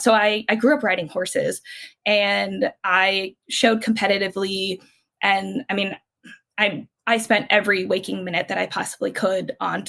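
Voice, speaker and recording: A woman's voice: mid-range voice, creaky, fairly bright tone. Chatty woman from California. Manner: chatty, many restarts and fillers, laughs easily. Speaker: guest. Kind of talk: casual conversational storytelling.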